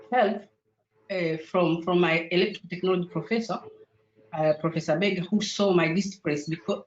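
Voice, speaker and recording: A woman from Tanzania whose first language is Sukuma, speaking as a guest on an online panel, with hesitant speech full of fillers and restarts. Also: on the low side. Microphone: video call. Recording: roomy, dull video call audio.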